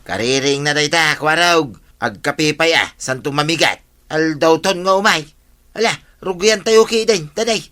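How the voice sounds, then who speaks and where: A man's voice: on the high side, moderate pace, bright, forceful tone. A man with an Ilocano Filipino accent playing an eager, community-minded villager in a comedy radio drama.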